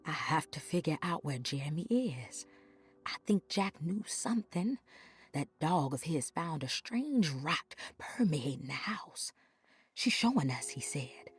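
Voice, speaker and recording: An actress playing an anxious woman reading her diary aloud in a video game, measured and dropping into whispers. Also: deep voice, sing-song, slightly rough, full character voice. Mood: worried, secretive. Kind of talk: scripted video game voice acting.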